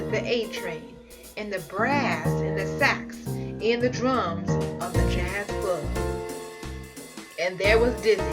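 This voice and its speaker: Slow, high-voiced woman reading a story. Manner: theatrical, playful, dramatic pauses. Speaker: storybook reader. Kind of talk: expressive read-aloud of a children's picture book.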